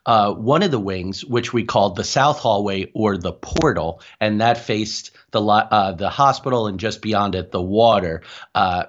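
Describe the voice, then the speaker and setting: Guy with a lowish voice telling stories as a guest researcher, kinda dull lo-fi call sound. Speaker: guest, paranormal researcher and author. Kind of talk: conversational interview storytelling.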